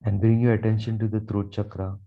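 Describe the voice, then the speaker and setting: A man guiding a meditation with affirmations in a slow, calm, soothing delivery with long pauses. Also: on the low side, creaky. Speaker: meditation guide, Reiki teacher. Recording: dull, muffled lo-fi sound.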